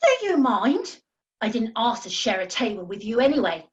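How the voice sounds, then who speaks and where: Woman acting a haughty, high-voiced washed-up singer. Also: very animated, moderate pace, dull audio. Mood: indignant. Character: washed-up younger female singer, haughty and tart. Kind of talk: comedy radio play acting.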